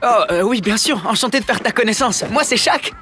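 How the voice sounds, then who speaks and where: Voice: mid-range voice, a little fast, bright, forceful tone, faint music behind, lightly acted. A voice actor playing a shy, polite, awkward teenage boy in a children's cartoon, speaking hesitantly. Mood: flustered. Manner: hesitant, flustered. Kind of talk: French-dubbed children's cartoon acting.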